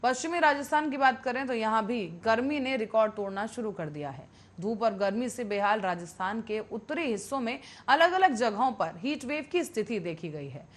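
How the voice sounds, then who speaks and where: A woman's voice: a little high, lively intonation, normal speed. A woman reading scripted news as a television anchor.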